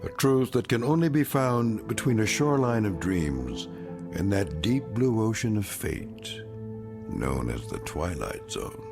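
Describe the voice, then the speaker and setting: An actor playing a solemn, mysterious narrator with a gravelly voice, introducing eerie tales in a radio drama. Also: male voice, fairly low pitch, big pitch swings, a little slow, exaggerated voice. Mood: solemn, ominous. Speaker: series opening narrator. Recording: music behind the voice.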